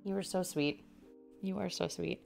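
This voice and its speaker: A woman's voice: fairly low pitch, steady tone, normal speed, creaky, a little mellow, faint music behind. A woman in her thirties chatting casually with her stream while stitching, laughing often, with a slightly sore throat. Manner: chatty, laughs often, reads and answers chat. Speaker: cross-stitch livestreamer. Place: indoor home craft room.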